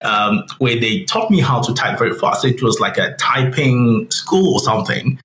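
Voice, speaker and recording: A man in his forties, a guest telling his career story in an animated way and acting out quoted voices. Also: medium pitch, moderate pace. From Zimbabwe. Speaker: guest business analyst. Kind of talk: interview storytelling. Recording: roomy with faint noise.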